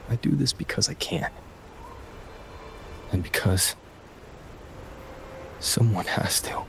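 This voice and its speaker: Gravelly, resolute male game hero. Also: on the low side, lively intonation, slow pace, noisy background, heavily acted. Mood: quietly resolute. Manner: earnest, understated, reflective. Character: calm, resolute young male wandering hero. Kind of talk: scripted video game voice acting.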